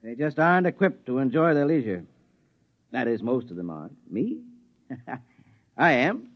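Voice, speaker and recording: An actor playing an idle, bookish, sardonic neighbour in a 1950s radio drama, musing at leisure. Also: male voice, mid-range voice, big pitch swings, normal speed, lightly acted. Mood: wry. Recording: dull vintage radio recording.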